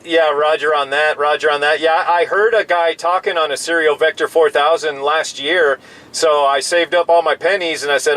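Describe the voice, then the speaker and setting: An American man with a voice a little higher than average talks as a CB operator. His delivery is casual and friendly, peppered with radio jargon. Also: a bit bright, slight background noise, low-quality recording. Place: in a parked vehicle outdoors. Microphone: CB radio microphone.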